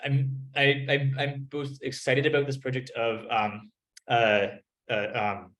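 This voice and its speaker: A man's voice: medium pitch, flat delivery, a little slow, fairly bright tone, poor audio. A man speaking as a panelist in an academic discussion, searching for words with many restarts, on a video call.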